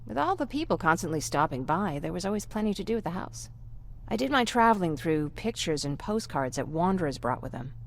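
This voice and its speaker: Gentle young woman, low and creaky. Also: very animated, brisk. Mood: wistful. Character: gentle young woman survivor, protective big sister, wistful. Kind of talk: video game dialogue acting.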